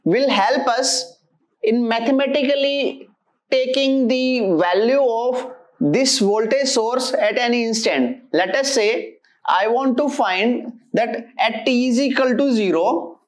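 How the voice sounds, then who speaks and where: Woman, engineering lecturer, somewhat high pitch, very unhurried, halting step-by-step explanation. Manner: methodical, halting while working through equations.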